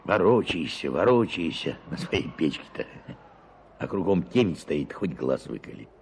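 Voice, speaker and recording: Very deep, gravelly old peasant. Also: male voice, very animated, moderate pace. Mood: weary, homely. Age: older. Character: weary old peasant forest watchman, lifelong bachelor, humble. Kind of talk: radio drama acting. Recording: clearly noisy radio play recording.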